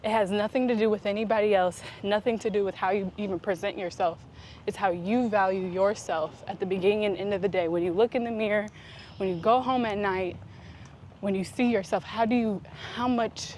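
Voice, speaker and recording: A woman talking in a casual, reflective vlog style, earnest and introspective, with deliberate pauses. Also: mid-range voice. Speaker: vlog host. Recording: clear steady background noise.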